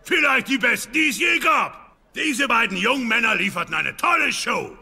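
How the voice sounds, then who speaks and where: Creaky, high-pitched proud Viking man. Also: expressive, moderate pace, exaggerated voice. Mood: solemn, proud. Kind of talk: animated series audio play dialogue. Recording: dialogue over loud music.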